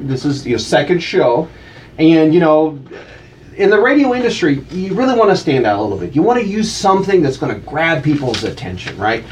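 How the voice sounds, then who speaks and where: A man joking with the host on a radio show, teasing and animated, reading out mock slogans. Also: on the high side, far from the mic, slight background noise. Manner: teasing, animated, breaks into chanted cheers. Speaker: guest co-host. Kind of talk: playful radio banter.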